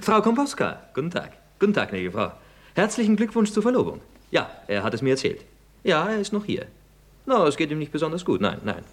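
Radio comedy role: exuberant sly friend, mocking delivery, extremely lively highish voice. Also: male voice, a little fast. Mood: sly glee. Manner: teasing, ironic, theatrical. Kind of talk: German radio play acting.

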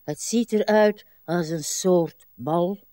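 An actress playing a weary, plaintive old wife in a radio drama, by turns murmuring and insistent. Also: female voice, fairly low pitch, dark tone, no room sound, clean studio sound. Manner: slow, theatrical, swinging between murmurs and cries. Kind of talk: broadcast radio drama acting.

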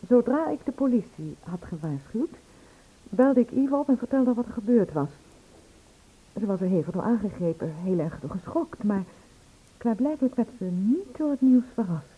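A woman's voice: fairly high pitch, lively intonation, normal speed, breathy edge. An actress playing an anxious woman in a radio play, speaking in a hesitant, confiding way. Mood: confiding, uneasy. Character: anxious, confiding woman, shaken witness to a murder. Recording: tinny, narrow-band old radio recording.

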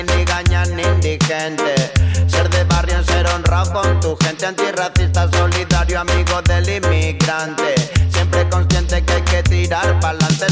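Female rapper, lowish even voice, measured rhythmic delivery, loud reggae-style backing. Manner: rhythmic, declarative. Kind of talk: rap song over reggae-style music. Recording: loud music under the voice.

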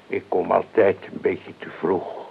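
An actor playing an eccentric, politely blunt freelance bodyguard in a radio play, with deadpan, matter-of-fact delivery. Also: male voice, low voice, a little slow, creaky. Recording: tinny, noisy old radio recording.

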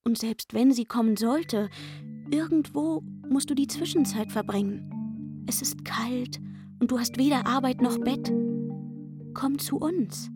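An actress playing a coaxing, wistful young working girl in a radio play, confiding and eager as she speaks. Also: on the high side. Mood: coaxing, persuasive. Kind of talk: literary radio play acting.

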